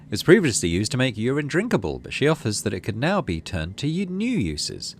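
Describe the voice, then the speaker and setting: A man's voice: medium pitch, huge pitch swings, a little fast, a little hiss or hum. A man giving casual let's play commentary, reading story text aloud and murmuring to himself as he decides. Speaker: gaming channel host.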